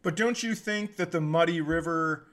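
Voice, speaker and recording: A man co-hosting a podcast in casual comedic chat, with a teasing, sweary, animated delivery. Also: a little high, moderate pace. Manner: animated, teasing, profane, acts out quotes. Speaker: podcast co-host.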